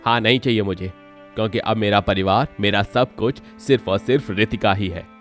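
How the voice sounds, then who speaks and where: A man's voice: on the low side, normal speed, fairly bright tone, lightly acted. A man voicing several village men in a cartoon story, speaking dramatically. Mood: defiant. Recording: background music under voice.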